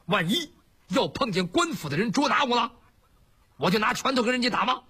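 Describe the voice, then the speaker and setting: Man acting rash filial outlaw, storytelling style, very raspy, wide swooping pitch, loud, pleading. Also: expressive, fairly quick, exaggerated voice. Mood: protesting. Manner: loud, blunt, wailing and pleading. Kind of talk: traditional Chinese storytelling performance.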